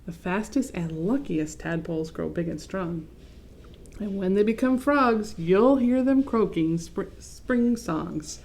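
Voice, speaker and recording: A woman voicing a cheerful father in a picture book who explains things gently. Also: medium pitch, takes it slowly. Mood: explaining.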